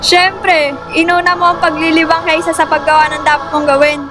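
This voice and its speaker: A woman's voice: extremely high pitch, little pitch movement, fairly quick, music in the background. A woman playing a demanding, scolding mother in an amateur radio drama.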